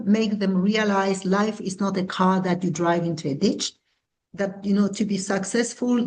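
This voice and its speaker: A woman in her sixties from South Africa, a founder answering interview questions in an earnest, measured way. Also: medium pitch, darkish tone, low-quality recording. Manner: earnest, measured, with small restarts. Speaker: guest, founder and CEO.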